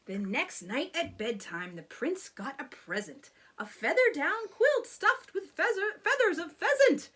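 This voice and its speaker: A woman's voice: very high-pitched, sing-song, measured pace, hardly any pauses, a bit bright, a little hiss or hum. A woman reading a children's bedtime story expressively, with theatrical, rhythmic delivery of the rhymes. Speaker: storybook reader.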